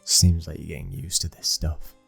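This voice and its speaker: A British man playing a brooding, dominant young mafia boss's son in an intimate ASMR roleplay, speaking with slow, commanding control. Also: rumbling low, steady tone, vocal fry, breathy edge, soft background music, full character voice. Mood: guarded, frank.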